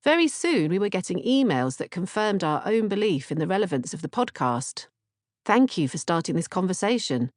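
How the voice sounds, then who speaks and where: A woman's voice: on the low side, big pitch swings, normal speed, no room sound, studio quality. A woman narrating an audiobook from a script. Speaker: author-narrator reading audiobook, podcast co-host.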